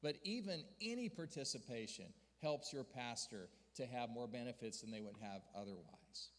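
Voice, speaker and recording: A man's voice: medium pitch, animated, moderate pace. A man giving an earnest church report and story to a gathering, building to emphatic peaks. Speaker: denominational church leader speaking to a district gathering. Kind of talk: sermon-style report with slides. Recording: long hall reverb.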